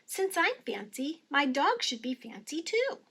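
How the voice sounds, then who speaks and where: A woman plays a fancy little girl from a children's storybook, excitable and theatrical. Her voice sits high and climbs higher on commands and exclamations, with a showy flourish on fancy words. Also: unhurried, gravelly, full character voice. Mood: earnest, affectionate. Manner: theatrical, sprinkles in French words.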